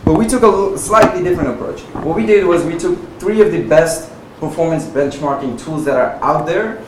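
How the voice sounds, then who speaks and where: A man's voice: a little high, fairly quick, a little mellow. A man from Nepal in his thirties giving a conversational tech talk to a meetup audience, peppering his sentences with "uh" and "right?". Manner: conversational, frequent "uh" and "right?" tags. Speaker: conference speaker. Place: meetup venue, audience present. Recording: echoey room from far off, noisy.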